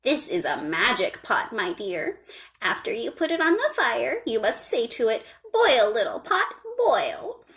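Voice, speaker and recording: A woman's voice: a little high, sing-song, measured pace, a bit bright, voice pushed up, heavily acted. A woman voicing a kindly old storybook woman with a crackly voice, reassuring and instructive. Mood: earnest, instructive.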